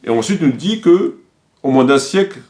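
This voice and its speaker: A man's voice: medium pitch, lively intonation, moderate pace, a little mellow. A man giving argumentative, accusatory spoken commentary, insistently repeating phrases for emphasis. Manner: accusatory, polemical. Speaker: commentator presenting a video.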